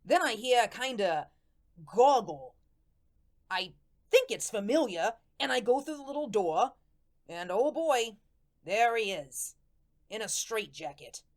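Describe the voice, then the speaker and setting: A woman's voice: on the high side, very animated, a little slow, long pauses. A woman playing the earnest, hapless ghost of a murdered tough guy in a radio drama, talking in folksy slang. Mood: chatty, rueful. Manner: folksy slangy speech with dropped grammar and rhetorical questions. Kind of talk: radio drama first-person monologue.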